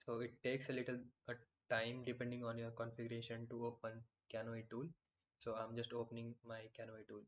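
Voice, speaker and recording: Man with flat, low-key tutorial voice. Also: brisk, lo-fi sound. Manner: explanatory, many fillers and restarts. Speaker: software tutorial instructor. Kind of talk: screen-recorded software tutorial.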